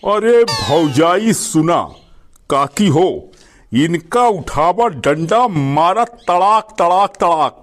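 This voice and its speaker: A performer voicing a meddling young village man in an Awadhi comedy cartoon, goading others in a drawn-out way. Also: mid-range voice, animated.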